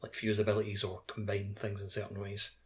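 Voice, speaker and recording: A man's voice: lowish voice, monotone, a little fast, slight background noise. A man co-hosting a podcast, chatting informally and keenly about a video game. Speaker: podcast co-host. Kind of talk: casual video game discussion.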